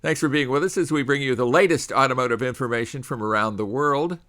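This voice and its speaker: A man's voice: medium pitch, fast talker, fairly bright tone. A middle-aged man hosting a radio talk show, chatting in a conversational way with frequent fillers.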